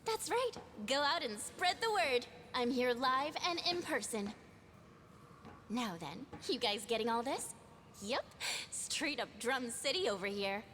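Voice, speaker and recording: A woman's voice: fairly high pitch, lively intonation, unhurried, fairly bright tone, a little hiss or hum. An actress playing a cheeky young female livestreamer in a video game, theatrical and mock-innocent as she addresses her viewers. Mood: perky, taunting. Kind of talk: livestream host acting in a video game cutscene. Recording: music behind the voice.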